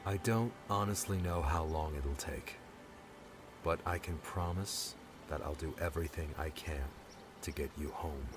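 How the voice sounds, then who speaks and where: A man's voice: rumbling low, a little slow, background noise. A man playing a laid-back, protective rescuer with dry humor in an audiobook. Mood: earnest, reassuring. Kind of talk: audiobook character dialogue.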